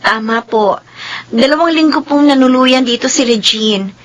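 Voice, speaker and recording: An actress playing a polite, evasive young daughter in a Filipino radio drama. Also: female voice, high-pitched, moderate pace, lightly acted.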